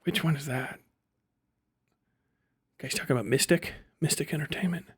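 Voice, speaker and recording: A man's voice: a little high, moderate pace, fairly bright tone, slightly rough. A man giving off-the-cuff livestream commentary in a blunt, profane, sardonic way. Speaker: live streamer.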